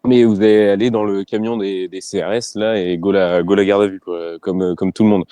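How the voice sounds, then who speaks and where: A man speaking as a riot police officer in a debate, talking frankly and haltingly and often repeating phrases as he searches for words. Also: sits low, fairly even intonation, dark tone, clean tone, no echo.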